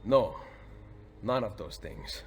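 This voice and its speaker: Composed Italian assassin nobleman, measured. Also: on the low side, animated. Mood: firm. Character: Ezio, composed confident Italian assassin nobleman in his prime. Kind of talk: dramatic video game acting. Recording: music behind the voice.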